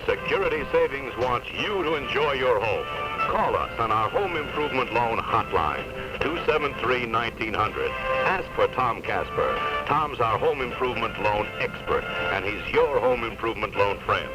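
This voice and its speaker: A man's voice: medium pitch, big pitch swings, moderate pace. A man reading a scripted 1970s radio commercial as an announcer. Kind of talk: 1970s radio advertisement read. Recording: lo-fi 1976 AM radio with music bed.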